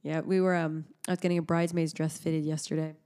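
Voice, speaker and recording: A woman's voice: low voice, flat delivery, fast, dark tone. A woman in her thirties, co-hosting a podcast in casual chat, a chatty storyteller who laughs often. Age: 30s. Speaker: podcast co-host.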